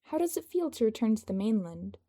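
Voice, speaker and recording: A woman's voice: fairly high pitch, big pitch swings, a little fast, dark tone. A woman voicing a self-assured, teasing young male leader in an audio story. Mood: confident, friendly.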